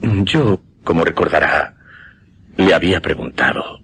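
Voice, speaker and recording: Deep-voiced, haunted gentleman witness. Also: creaky, breathy edge, full character voice. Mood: haunted, solemn. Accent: Spain. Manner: hesitant, grave, courteous, dramatic pauses. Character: shaken gentleman witness recounting a supernatural horror. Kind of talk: radio horror drama acting.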